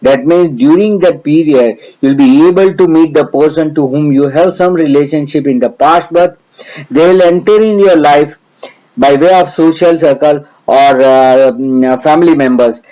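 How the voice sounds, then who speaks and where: A man's voice: fairly high pitch, moderate pace, mellow, lo-fi sound. A middle-aged man from India with a Gujarati accent, teaching astrology in a hesitant, earnest way with many fillers. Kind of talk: lecture.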